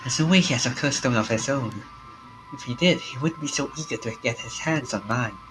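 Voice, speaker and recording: A man with a fairly deep voice reads a visual novel aloud for a stream. His pitch is average for a man. Game music plays clearly behind him. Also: a little fast, poor audio.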